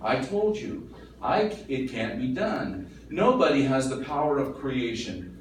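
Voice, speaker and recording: A man's voice: mid-range voice, sing-song, unhurried. Guy doing an irritable know-it-all dad, old time radio comedy, lecturing, sounds far from the mic, noisy recording. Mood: exasperated, lecturing. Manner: lecturing, blustery, easily irritated. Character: exasperated, boastful father dabbling in chemistry. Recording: echoey room, distant mic, noisy.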